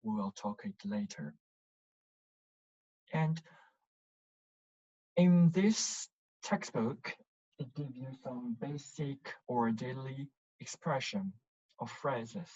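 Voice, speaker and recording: Slow-paced language teacher, muffled lo-fi recording. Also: medium pitch, animated, dark tone, husky. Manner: deliberate, instructive, with checking questions. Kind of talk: language lesson, explaining and drilling syllables.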